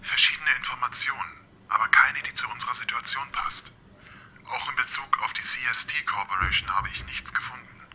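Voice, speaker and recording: A man's voice: medium pitch, fairly quick, background noise, close to an everyday voice. A man playing a polite, literal-minded talking car computer in an amateur radio play, formal and precise. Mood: matter-of-fact.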